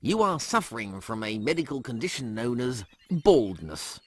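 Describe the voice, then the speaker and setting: A man's voice: medium pitch, big pitch swings, moderate pace, a bit bright. A man voicing an officious, salesman-like medical machine that speaks in clipped, formulaic announcements. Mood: bland, officious.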